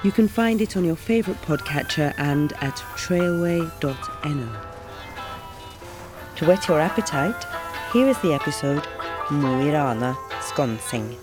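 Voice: medium pitch, animated, unhurried, over music. A narrator reading scripted narration for an audio drama trailer and historical account.

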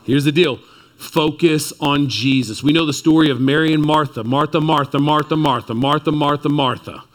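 Male pastor, thirties, from Texas, fairly high voice, emphatic sermon delivery. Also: moderate pace. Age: 30s. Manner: emphatic, rising to excited peaks, then dropping low and quiet. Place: church before a seated congregation.

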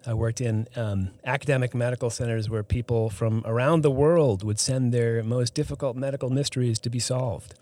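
A middle-aged man from the Boston area, a physician and health center CEO, answering interview questions thoughtfully with many pauses and restarts. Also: mid-range voice, fairly even intonation, dark tone, dry recording. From Boston area, United States. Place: studio.

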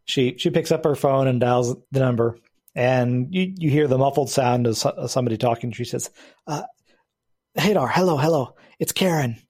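A man's voice: mid-range voice, moderate pace, a little mellow, husky. A man narrating as game master of a tabletop game, often restarting phrases and laughing.

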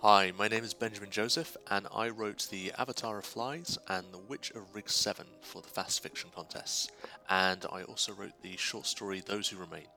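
A man with a low, gravelly yet bright voice gives an enthusiastic, informal testimonial as a writer. Light music runs behind him. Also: fairly even intonation, moderate pace, low-quality recording. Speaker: writer, guest contributor.

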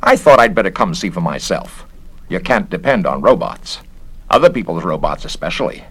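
A man's voice: low voice, very animated, normal speed, fairly bright tone, husky, full character voice. A man voicing a fussy, scornful government investigator in a storybook reading, with an agitated manner. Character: Garrett, fussy indignant male government censor and investigator.

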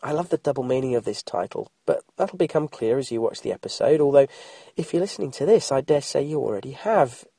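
A man's voice: mid-range voice, animated, quick pace, vocal fry, dark tone, slightly rough, no echo, studio quality. A middle-aged man with an English accent, bunged up and throaty with a cold, chatting informally as a solo podcast host with wry, self-deprecating asides. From England. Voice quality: congested, throaty.

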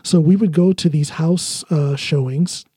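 A man's voice: a little high, unhurried, dark tone, husky, no room sound, clean studio sound. An American man hosting a podcast, talking casually and telling personal stories. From United States. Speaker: podcast host. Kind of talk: casual conversation, personal storytelling.

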